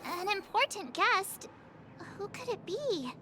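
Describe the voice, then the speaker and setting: A woman voicing an upbeat young woman adventurer in a game, with a bright, eager, chatty manner and game music behind her. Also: very high-pitched, big pitch swings, slow, slight background noise, heavily acted. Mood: curious. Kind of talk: game voice acting. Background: game background music.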